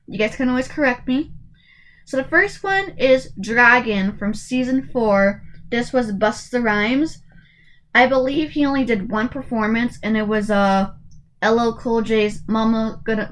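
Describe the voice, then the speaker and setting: A woman's voice: fairly high pitch, a bit bright. A woman in her twenties talking casually and hesitantly, with many fillers and restarts, her voice going in and out. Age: 20s. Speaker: YouTuber. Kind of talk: casual tier-list commentary.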